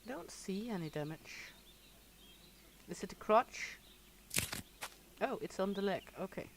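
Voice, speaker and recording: A woman's voice: mid-range voice, animated, slow, noisy background. A Danish woman with a Danish accent, chatting casually to her viewers while she plays, laughing often. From Denmark. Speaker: gaming streamer. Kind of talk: casual livestream commentary.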